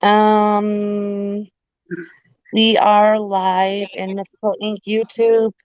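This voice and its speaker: A woman on a pagan livestream panel answers in short, low-key replies, her voice fading as she loses it. The tone stays smooth despite that. The dull video-call audio is dry with no room sound. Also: monotone, very slow, dry recording. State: losing her voice. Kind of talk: casual group chat on a live video call.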